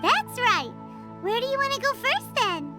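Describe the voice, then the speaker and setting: A woman voicing a small, chirpy, blunt fairy companion in a video game, with a squeaky childlike voice. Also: very animated, unhurried, music in the background, full character voice. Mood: excited, urging. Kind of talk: video game character acting.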